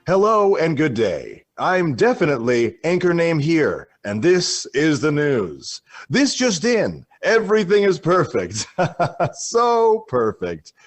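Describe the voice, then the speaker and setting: A man playing a manic, vapid news anchor with uneasy cheer and a husky voice in a parody bulletin. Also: fairly high pitch, huge pitch swings, slow pace, full character voice. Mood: unhinged cheer. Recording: faint music bed behind voice.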